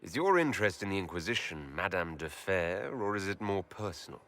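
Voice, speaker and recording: A man's voice: deep voice, expressive, a little fast, fairly bright tone. A man playing a guarded, direct fantasy hero in a video game, questioning in a formal manner. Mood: guarded, inquiring. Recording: music under the voice.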